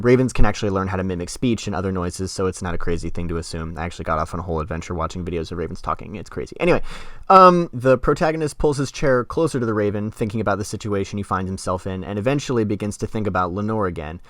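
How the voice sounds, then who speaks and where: A man's voice: lowish voice, fairly bright tone, no room sound, studio quality. A man hosting a podcast, explaining literature in a casual, wordy way with informal asides. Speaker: podcast host.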